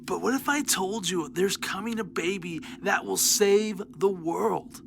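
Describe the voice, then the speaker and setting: A woman's voice: mid-range voice, measured pace, gravelly, background noise. A woman playing a firm, knowing guardian angel, frank and earnest in a children's audio drama. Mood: solemn.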